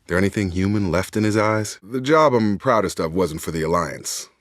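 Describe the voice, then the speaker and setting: An actor playing a steady, blunt male space soldier in a video game, with a plain-spoken, clipped military delivery. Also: sits low, normal speed, dry recording, clean studio sound. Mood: relaxed, candid.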